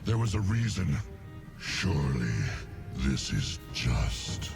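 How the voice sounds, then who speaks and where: A man voicing an ancient, savage bear storm god for a video game, wrathful and brooding, with a deep gravelly growl and a grandiose, solemn delivery. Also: very animated, takes it slowly, heavily acted. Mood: bitter, brooding. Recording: loud music under the voice.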